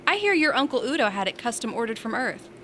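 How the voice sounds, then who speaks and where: A woman acts out a feisty space station resident in a science fiction audio drama. Her voice is bright and punchy, and she teases with sassy, bantering delivery. Faint background ambience runs behind her. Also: on the high side, big pitch swings, fast, a little hiss or hum.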